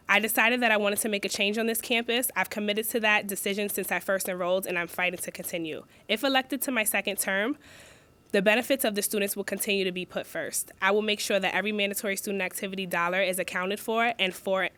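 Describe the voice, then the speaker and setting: A woman's voice: medium pitch, fairly bright tone. A young woman student giving an earnest, read campaign speech as a candidate, stopping to redo a line when she slips. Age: young adult.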